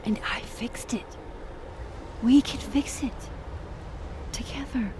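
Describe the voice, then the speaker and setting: An actress playing a gentle, self-doubting young woman in a video game, earnest, hesitant and reassuring. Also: a little high, slow, whispered. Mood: reassuring, pleading. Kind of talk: naturalistic video game acting.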